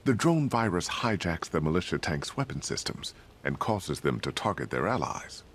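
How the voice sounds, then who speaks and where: A wry, seasoned tech supplier in a video game. His deep, gravelly voice is measured and dryly amused, with lively inflection. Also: slight background noise. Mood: wry helpful.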